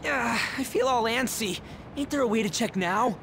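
A man's voice: extremely high pitch, moderate pace, vocal fry, noisy background. An actor voicing a brash, hot-headed teenage delinquent boy in a video game, over game music. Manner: loud, brash, slangy. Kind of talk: video game cutscene voice acting. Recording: game audio with loud music.

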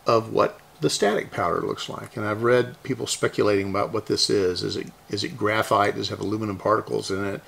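A man's voice: lowish voice, vocal fry, fairly bright tone, husky. A middle-aged American man from the Houston area, chatting casually and explaining things in a folksy, musing way. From Houston area, Texas, US. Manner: folksy, musing, thinking aloud. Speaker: hobbyist repair video host.

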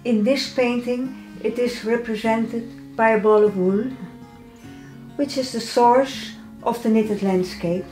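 An older woman from the Netherlands with a Dutch accent, calmly explaining her own paintings in her studio. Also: a little high, faint music behind, poor audio. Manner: calm, measured, slightly non-native phrasing. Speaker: artist. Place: home art studio, indoors.

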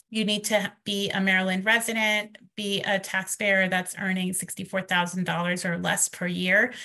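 A woman's voice: mid-range voice, creaky, bright, forceful tone. A woman presenting a webinar over a video call, explaining programs slide by slide with frequent ums. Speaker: presenter from a financial nonprofit.

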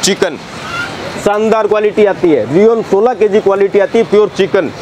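A young man who owns a fabric shop gives a fast sales tour, listing fabrics and prices in a brisk patter, recorded on a lapel mic in a busy warehouse. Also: sits high. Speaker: shop owner. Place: crowded fabric warehouse, indoors. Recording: crowd noise in a busy warehouse.

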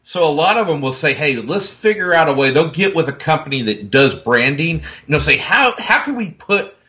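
Bright-voiced man, emphatic rambling pundit. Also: fairly high pitch, big pitch swings, normal speed. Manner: emphatic, rambling with many restarts. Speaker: rugby commentator and pundit. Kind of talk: casual panel chat.

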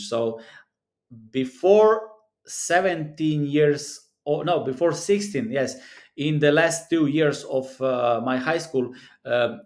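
Man, 30s, English, slightly high voice, earnest, repetitive, hesitant fillers, webcam close-up. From Europe. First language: a South Slavic language. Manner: earnest, repetitive, talks through numbers with many fillers. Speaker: sports betting analyst. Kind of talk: screen-recorded commentary. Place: small room indoors.

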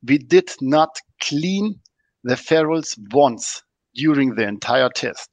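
A man's voice: medium pitch, expressive, takes it slowly, dry recording. A man, a podcast guest explaining products in a methodical, technical way. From Germany.

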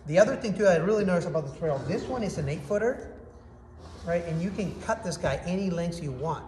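A man's voice: high-pitched, lively intonation, brisk, darkish tone. A man in his thirties giving an upbeat, casual product review to a phone camera in a workshop. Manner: upbeat, enthusiastic, demonstrating with hands. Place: indoor workshop with hard walls. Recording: echoey room, faint background noise.

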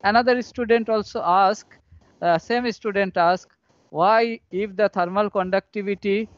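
A high-voiced man with an Indian accent gives a technical lecture over an online session. He works through equations haltingly, repeating his phrases as he goes. The sound is dry and somewhat dull and lo-fi. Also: fairly even intonation, dry recording. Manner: repetitive, halting step-by-step explanation. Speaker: engineering professor. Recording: dull lo-fi online call audio.

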